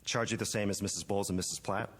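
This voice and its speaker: An actor playing a polite, hesitant young American gardener in a radio drama. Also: male voice, on the low side, steady tone, rapid-fire, fairly bright tone, big-room sound. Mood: polite, earnest. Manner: polite, tentative.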